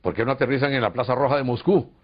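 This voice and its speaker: A man's voice: mid-range voice, very fast. A man from Costa Rica with a Costa Rican Spanish accent, earnestly telling a long story in a recorded interview, with hesitations. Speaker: interviewee, UFO contactee. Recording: dull lo-fi recording.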